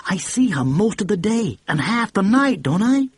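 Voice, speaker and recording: Man voicing a young woman, conspiratorial, brassy, very husky, lively pitch. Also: normal speed, dry recording, full character voice. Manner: hushed, hissing, then loud and hearty.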